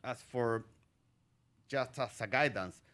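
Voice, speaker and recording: Man from Chile, middle-aged, astronomer on a panel, halting, lots of fillers, mid-pitched voice. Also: bright, forceful tone. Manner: halting, with many fillers and restarts. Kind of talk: conversational panel talk.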